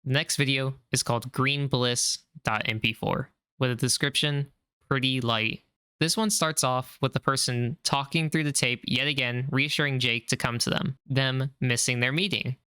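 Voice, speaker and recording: A man narrating a recap of a horror series in a calm, measured way. His voice is bright and crisp, recorded close and dry with no room sound. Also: mid-range voice, no echo. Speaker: video narrator.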